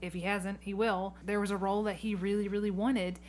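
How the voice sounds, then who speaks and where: A woman's voice: medium pitch, flat delivery, a little fast, darkish tone. Emphatic woman, noisy podcast recording. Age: late 30s. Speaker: podcast host, community theatre director. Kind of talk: conversational interview. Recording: noticeable background noise.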